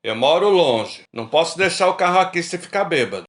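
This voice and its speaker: A middle-aged guy acted by a man in a radio play, cautious yet teasing with his old friends. His voice is on the high side for a man. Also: lively intonation, fairly quick, a bit bright. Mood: reluctant. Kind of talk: radio drama acting.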